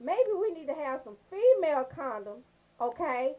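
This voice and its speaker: Brief: female comedy radio host, high voice with big swings, brash and emphatic, riffing through raunchy banter. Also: moderate pace. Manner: brash, animated storytelling with emphatic check-ins.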